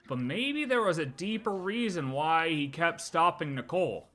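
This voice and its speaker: Bright-voiced man rambling casually on stream. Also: a little high, animated, normal speed. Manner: slangy, rambling, repeats phrases for emphasis. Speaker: livestreamer reacting to game lore. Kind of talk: casual livestream chat, speculating about game story.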